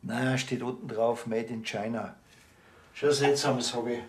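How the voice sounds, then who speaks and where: A man's voice: medium pitch, fairly even intonation, a little slow, noisy background, close to an everyday voice. An actor playing a grumpy, dry, exasperated small-town policeman with a Bavarian accent and a curt manner in a TV crime comedy.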